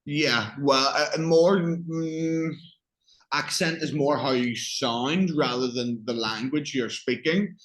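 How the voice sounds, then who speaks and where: A man's voice: a little high, slow pace, fairly bright tone. A man with a Northern Irish accent teaching English one-on-one, explaining patiently with examples and questions. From Northern Ireland.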